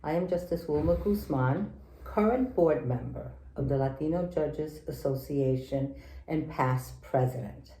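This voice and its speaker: A woman's voice: deep voice, measured pace, warm tone, echoey room, rough recording. A woman judge hosting a formal interview, gracious and formal with some hesitant fillers.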